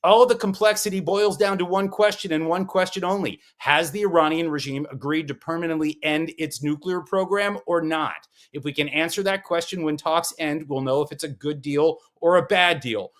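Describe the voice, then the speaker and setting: A man's voice: a little high, fairly quick, few pauses. A man hosting a morning news podcast, delivering scripted headlines with punchy, sarcastic asides. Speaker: podcast host. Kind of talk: scripted news briefing with commentary.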